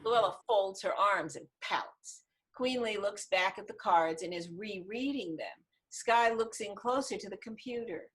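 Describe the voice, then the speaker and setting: Unhurried woman reading stage directions. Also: medium pitch, rough recording. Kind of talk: scripted narration, screenplay table read. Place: online video call reading.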